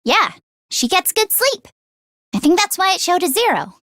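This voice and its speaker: A woman voicing an excitable, earnest teenage girl inventor who gets easily flustered, in an expressive anime-style video game performance. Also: very high-pitched, normal speed, dry recording, exaggerated voice. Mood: awkward, flustered. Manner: expressive, swinging from deadpan grumbling to frantic outbursts.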